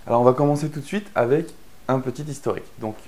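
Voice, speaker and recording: Man, from France, course instructor, mellow tone, relaxed chatty delivery, lots of fillers. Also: mid-range voice, fast, a little hiss or hum. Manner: chatty, many fillers and restarts. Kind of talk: explanatory course narration.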